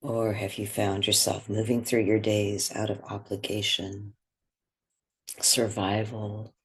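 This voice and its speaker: Man, meditation guide, very slow pace, soft breathy voice, gentle delivery, long pauses. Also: fairly low pitch, flat delivery.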